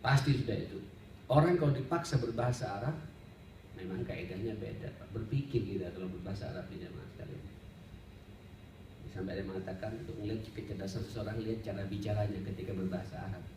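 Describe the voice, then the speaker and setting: A man's voice: fairly low pitch, quick pace. A man preaching as an Islamic teacher, conversational and didactic, speaking directly to his listeners. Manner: conversational and didactic, often addresses listeners directly, rhetorical questions. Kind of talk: sermon, study-circle lecture with Arabic recitation. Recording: roomy, distant mic, faint background noise.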